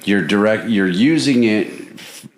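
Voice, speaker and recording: A man's voice: lowish voice, unhurried. A man hosting a podcast in casual interview chat, recapping the guest's story and asking leading questions with a tagged "right?". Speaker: podcast host.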